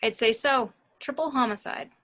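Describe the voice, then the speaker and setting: Woman playing a bright, high-voiced flirty morgue doctor. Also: normal speed, close to an everyday voice. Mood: clinical. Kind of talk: informal script table read.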